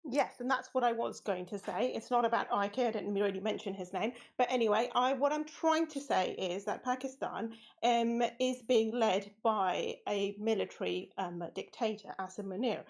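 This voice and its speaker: A British woman calling into a live audio space, speaking hesitantly with many pauses. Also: mid-range voice. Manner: hesitant, many ums, gets cut off.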